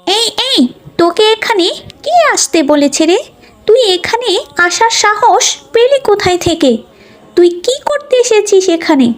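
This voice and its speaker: A woman voicing a haughty, scolding rich mother in an animated moral story. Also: very high-pitched, a little slow. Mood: angry, scornful. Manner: scolding, bossy, dismissive.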